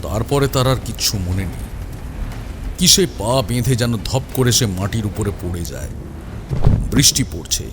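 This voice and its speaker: A man's voice: fairly low pitch, animated, unhurried, pauses often, background noise. A man narrating a scripted horror story in a dramatic style. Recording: rain and ambient effects behind.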